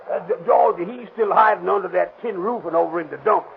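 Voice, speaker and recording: A jittery, timid witness who trips over every word and fills his answers with hesitant stalls. His voice sits high and very gravelly, and it comes through a narrow, tinny old radio recording. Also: moderate pace. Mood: flustered. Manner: stammering, hesitant, folksy ungrammatical speech. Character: nervous stammering male witness, timid. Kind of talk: 1930s radio drama acting.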